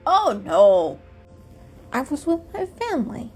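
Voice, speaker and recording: A woman's voice: sits high, wildly swooping pitch, slow pace, husky, voice pushed up, heavily acted. A woman voicing a friendly, frank young male robin with a chirpy, emphatic delivery in a dramatic storybook reading. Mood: earnest. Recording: music behind the reading.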